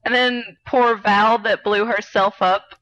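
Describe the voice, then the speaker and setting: A woman's voice: mid-range voice, fairly even intonation, takes it slowly, fairly bright tone, muffled recording. A woman co-hosting a casual film chat, playfully teasing in short, conversational remarks. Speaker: podcast co-host.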